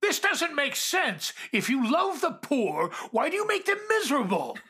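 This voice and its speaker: A woman playing a stingy, defensive miser in a radio drama, raspy and indignant, pleading with a spirit. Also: high-pitched, very animated, moderate pace, a bit bright, soft background music. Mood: indignant accusing.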